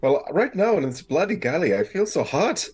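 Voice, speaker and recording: A man's voice: on the high side, big pitch swings, moderate pace, darkish tone, husky. A man playing a tabletop game, moving between casual narration and theatrical in-character lines.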